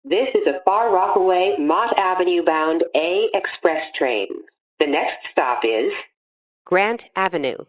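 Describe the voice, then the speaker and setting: A woman reading scripted automated subway announcements in a formal, measured, phrase-by-phrase manner. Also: fairly low pitch, lively intonation, rough recording.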